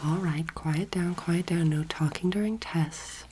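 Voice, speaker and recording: A young woman playing a patient, earnest alien anatomy teacher in a soft-spoken ASMR roleplay, breathy and often whispering, measured and instructive. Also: lowish voice, natural voice. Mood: calm, instructive. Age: young adult. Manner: measured, instructive, gently authoritative. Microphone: close microphone. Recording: clear background noise, layered clicking sounds.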